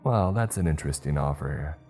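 A man's voice: extremely low pitch, lively intonation, brisk, darkish tone, faint music behind. A man voicing a boastful, theatrical cult witch who shrieks and rambles. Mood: musing. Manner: shrieking, chatty villain.

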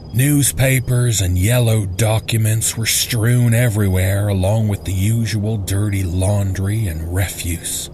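A man reads a first-person horror tale as its narrator. His voice sits fairly low and moves slowly, with deliberate suspense. A faint noise lies behind the scripted reading. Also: a little mellow.

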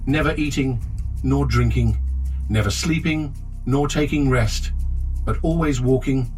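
A man playing a grave captain who speaks in a slow, measured way over faint music. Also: mid-range voice, darkish tone, lightly acted, poor audio. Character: grave male captain guarding a secret. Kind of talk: dramatized quote in documentary narration.

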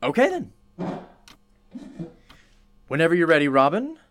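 A man's voice: on the high side, wildly swooping pitch, unhurried, a bit bright, exaggerated voice. A man playing a chatty, courteous radio show host interviewing a witness in a radio play, effusive and apologetic. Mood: chatty, upbeat.

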